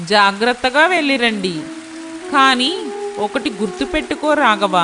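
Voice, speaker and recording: A woman voicing a stern, worrying older village mother who cares grudgingly, in a moral story. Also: on the high side. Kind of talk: acted dialogue in a moral story.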